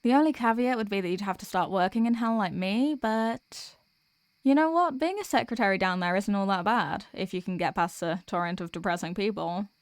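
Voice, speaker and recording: Demoness girlfriend, played by a woman: tired office worker, tender and chatty, mid-pitched, intimate ASMR roleplay, very dry recording. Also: fairly quick, no room sound, natural voice. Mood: coaxing, hopeful. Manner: chatty, affectionate, intimate address to a partner. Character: sweet, weary demoness girlfriend venting about office work.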